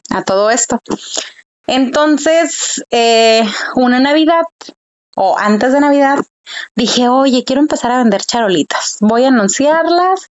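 A woman's voice: mid-range voice, muffled recording. A woman, a small business owner telling her story in a casual interview, chatty and full of fillers. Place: Tijuana.

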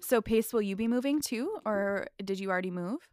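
A woman from the Midwest co-hosting a podcast, talking in a rambling, animated way full of likes. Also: mid-range voice, a little fast. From American Midwest. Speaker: podcast co-host. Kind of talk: casual podcast chat.

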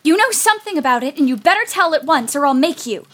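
Woman as hot-tempered older sister, high voice, swooping delivery, blunt, scornful, audio play. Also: animated, quick pace, fairly bright tone. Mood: accusing.

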